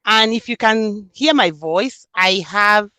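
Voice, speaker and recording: A woman's voice: medium pitch, lively intonation, slow pace, sharp tone, clean tone, dry recording, studio quality. A woman from Nigeria with a Nigerian accent, speaking earnestly and reflectively in an interview about her book. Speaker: author and student. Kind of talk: interview conversation.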